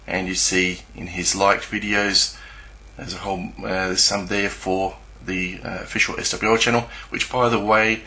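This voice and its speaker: Male YouTuber for screen commentary: creaky, sharp-edged voice, unscripted and hesitant delivery. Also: low-pitched, little pitch movement, rough recording. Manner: hesitant, halting, searching for words. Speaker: YouTuber presenting a screen walkthrough.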